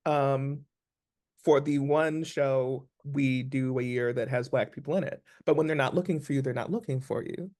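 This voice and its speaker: A man's voice: medium pitch, steady tone, moderate pace, darkish tone. An American man, an actor being interviewed, talking reflectively and restating his points with emphasis. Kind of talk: conversational interview.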